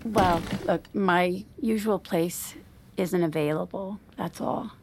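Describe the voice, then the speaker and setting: A woman in middle age playing a restrained, uneasy wife who is gently exasperated, acted naturalistically for audio drama with a hesitant manner. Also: lowish voice, slow pace, a little hiss or hum. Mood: hesitant, probing.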